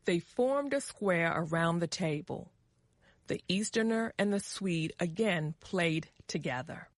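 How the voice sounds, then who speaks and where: A woman narrating a scripted story for English learners, measured and carefully articulated. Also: on the low side, lively intonation, no echo, studio quality.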